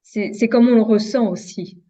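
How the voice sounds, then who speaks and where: Higher-voiced woman host, dull lo-fi sound. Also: moderate pace, mellow. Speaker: interview host. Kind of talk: casual spiritual interview chat.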